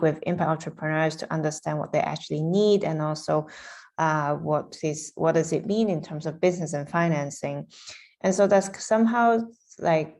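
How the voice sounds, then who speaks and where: A woman in her thirties, originally from Hong Kong, speaks as an interview guest. Her voice sits very low with a gravelly fry, and she hesitates often, filling pauses with soft ums as she explains her background. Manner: hesitant, many ums, laughs lightly. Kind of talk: casual interview answers.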